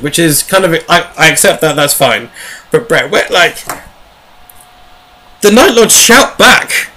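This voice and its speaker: A man with a bright, forward voice sitting a little high in pitch. He talks as a podcast host giving a casual recap. His delivery rambles and is sprinkled with dry, jokey asides. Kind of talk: casual chatty book recap.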